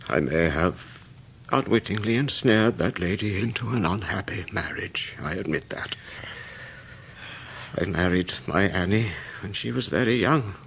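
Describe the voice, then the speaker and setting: An actor playing a gentle, honourable old scholar in a period radio drama, speaking with a British accent in a halting, earnest, dignified way. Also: male voice, low voice, lively intonation, gravelly, a little hiss or hum, full character voice. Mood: anguished, remorseful. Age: older.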